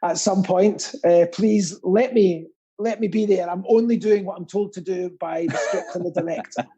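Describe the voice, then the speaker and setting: A man with a husky voice, hosting in a casual, jokey and theatrical way. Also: high-pitched, normal speed, warm tone, muffled recording. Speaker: quiz host and pantomime performer. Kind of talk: casual comic chat. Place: indoors at home, remote call.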